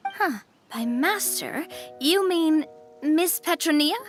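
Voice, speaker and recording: A woman playing an earnest, friendly young woman in a video game, chatty and expressive. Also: high voice, takes it slowly, soft background music. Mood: flustered, chatty.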